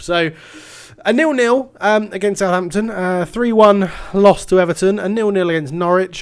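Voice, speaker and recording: A man, congested and nasal from a cold, chatting casually through his football management game. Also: fairly high pitch. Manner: chatty and rambling, self-mocking. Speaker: game commentator. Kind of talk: casual gaming commentary.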